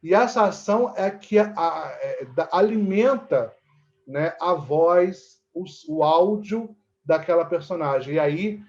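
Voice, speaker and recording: A man's voice: on the high side, lively intonation, measured pace. A Brazilian man giving conversational, explanatory interview answers, often checking for agreement with short tag questions. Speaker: interviewee, actor and theatre teacher. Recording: dull, lo-fi audio.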